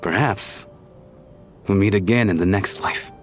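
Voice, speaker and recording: Man voicing a weary, low-voiced wandering soul. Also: measured pace, close to an everyday voice. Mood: relieved, bittersweet. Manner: wistful, resigned, plain-spoken. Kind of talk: English game dub voice acting. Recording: music under the voice.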